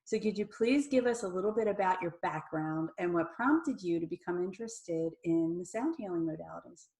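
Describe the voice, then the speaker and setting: A woman hosting an interview, enthusiastic and quick to laugh. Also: on the low side, lively intonation, a little mellow, echoey room. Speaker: interview host.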